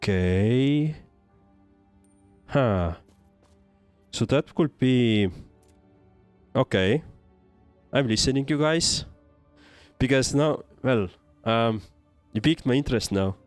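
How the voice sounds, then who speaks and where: A man's voice: medium pitch, lively intonation, slow, long pauses, clear, resonant tone, no room sound, soft background music. A man from Estonia with an Estonian accent, giving casual livestream game commentary and answering chat in a hesitant, thinking-aloud way. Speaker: game streamer.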